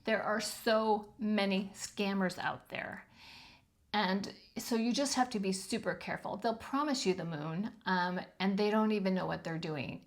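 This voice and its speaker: A woman over fifty with a high-pitched voice, giving frank, chatty advice on self-publishing with plenty of ums. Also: a little slow. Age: 50s or older. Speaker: channel host teaching self-publishing. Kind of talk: casual instructional talk to camera.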